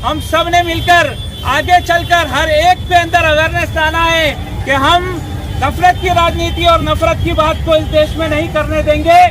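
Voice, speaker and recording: A man's voice: very high-pitched, level tone, normal speed, poor audio. An Indian-accented man giving an emphatic protest speech in short, repeated phrases. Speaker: protest speaker, political activist. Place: outdoor street protest before a crowd. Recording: crowd and traffic noise behind.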